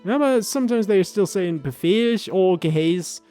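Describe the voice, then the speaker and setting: High, clear-voiced man chatting casually. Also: expressive, moderate pace, dark tone, faint music behind. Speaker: video host. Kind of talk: casual language commentary.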